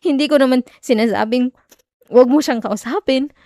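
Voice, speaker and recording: A high, close and dry voice with a Filipino accent: a woman acting a jealous young girlfriend in an audio roleplay. She is emotional and sarcastic, wounded while making a show of not caring. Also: normal speed, warm tone, no room sound, natural voice. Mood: angry, exasperated. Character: jealous, hurt young girlfriend feigning indifference. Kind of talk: Tagalog ASMR girlfriend roleplay, acted monologue.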